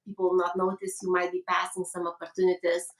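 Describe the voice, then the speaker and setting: Lithuanian woman guest, flat steady delivery, halting second-language English, roomy room sound from away from the mic. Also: on the low side, recorded from a distance. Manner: halting, with frequent restarts and fillers. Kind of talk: conversational interview.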